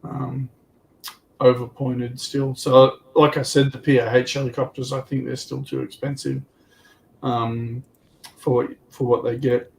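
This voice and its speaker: Guy on a hobby panel, midrange voice, bit of noise behind him, sorta musing out loud. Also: mid-range voice, little pitch movement, unhurried, rough recording. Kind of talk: casual hobby livestream chat. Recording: clear background noise.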